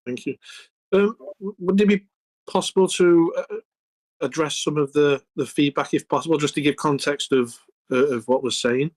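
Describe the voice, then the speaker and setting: A man's voice: a little high, normal speed, no echo. A man speaking politely and hesitantly as a writer in a group session. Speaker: writer receiving feedback.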